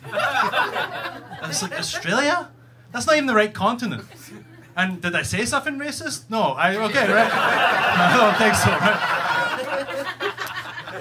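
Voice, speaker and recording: Brash Glaswegian stand-up comic, high-pitched. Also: male voice. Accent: Glaswegian Scottish. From Scotland. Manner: brash, sweary, punchy delivery. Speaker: stand-up comedian. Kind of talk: stand-up comedy set. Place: comedy club stage, indoors. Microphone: handheld mic. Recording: live club recording with audience noise.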